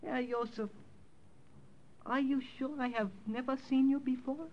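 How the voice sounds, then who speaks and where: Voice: high-pitched, steady tone, measured pace, highs cut off, very noisy. An actor playing a zealous, suspicious thirteen-year-old boy, proud and earnest in a radio drama. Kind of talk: 1950s radio drama acting. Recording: old-time radio recording.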